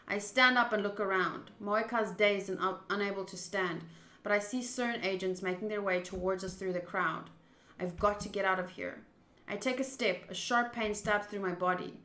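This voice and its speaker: Female game streamer. Expressive read-aloud narration, bright crisp-edged tone, roomy echo on the recording. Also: medium pitch. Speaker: streamer playing a visual novel. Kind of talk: dramatic read-aloud of game text with casual asides. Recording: roomy, echoing sound.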